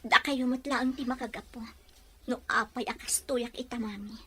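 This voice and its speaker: A woman's voice: on the high side, fairly even intonation, moderate pace, a bit bright, breathy edge. A woman playing a wounded, defiant young daughter in an emotional, melodramatic radio-drama style. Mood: reproachful. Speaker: actor in an audio drama.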